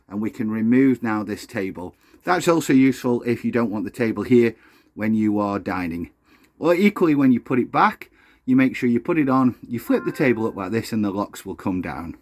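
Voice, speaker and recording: A man's voice: medium pitch, a little mellow. A man presenting an instructional video, explaining things step by step in an unscripted, hands-on demonstration.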